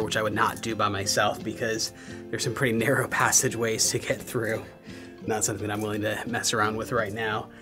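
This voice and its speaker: A man in his thirties walks through a stone cave dwelling and explains it to a handheld camera. He sounds curious and describes things as he moves. Music plays behind him. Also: lowish voice. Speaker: vlogger and father. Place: stone cave house in a wooded hillside, partly outdoors. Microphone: handheld camera, sometimes at arm's length.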